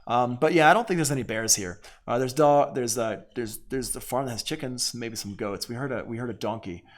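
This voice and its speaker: Easygoing man giving a software tutorial. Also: medium pitch, brisk. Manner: easygoing, informal, thinking aloud. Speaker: music production instructor. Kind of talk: casual screen-share software tutorial.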